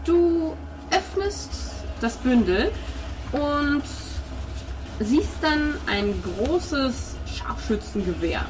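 A woman's voice: sits high, very animated, very slow, background noise. A woman narrating a tabletop role-playing game as game master, descriptive and informal.

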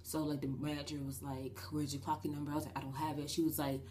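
Low raspy young woman vlogging. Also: slight background noise. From New Jersey. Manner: rambling and animated, with many fillers and quick gestures. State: shaky voice after a breathing treatment. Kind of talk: casual storytime vlog. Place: small bedroom, indoors. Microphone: webcam at desk distance.

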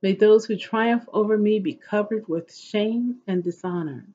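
Husky woman reading scripture slowly. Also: mid-range voice, dark tone, faint music behind. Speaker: host of an inspirational reading series. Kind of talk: devotional scripture reading aloud. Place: living room at home, indoors. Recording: dull, lo-fi webcam audio.

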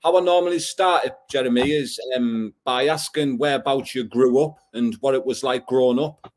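Male host, thirties, mid-pitched, friendly and easygoing, video call interview. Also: moderate pace, a little mellow.

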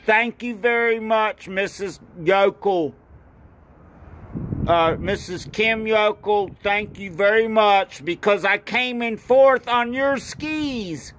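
Older man, phone video outdoors; slow, halting, filler-heavy delivery, slightly raised pitch. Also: a bit bright. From North Carolina. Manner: rambling and halting, with many fillers and repeated thanks. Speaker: ski racer and video maker. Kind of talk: casual home video. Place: outdoor porch. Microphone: phone camera at arm's length.

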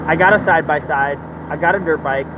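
A man's voice: fairly high pitch, fast talker, highs cut off. Rambling man in his thirties, truck cab. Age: 30s. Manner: rambling, laid-back, chuckling asides. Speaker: truck business owner vlogger. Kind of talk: casual talking-head vlog. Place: inside a truck cab, daytime. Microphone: phone held at arm's length. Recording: phone audio with engine noise.

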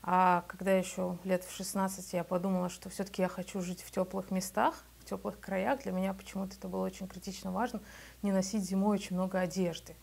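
A woman's voice: mid-range voice, little pitch movement, fast, vocal fry, darkish tone, breathy edge. A woman in her thirties from Belarus, a business owner telling her story in a documentary interview in a small office, speaking matter-of-factly into a lapel mic. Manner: matter-of-fact, conversational.

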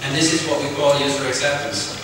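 A man's voice: medium pitch, quick pace. A middle-aged man from Belgium, not a native English speaker, giving a chatty technical conference talk to an audience and asking them questions. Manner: chatty, frequent ums, asks audience questions. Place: large lecture hall with audience. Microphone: distant room recording of a speaker on stage. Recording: echoey hall recorded from far off, noisy.

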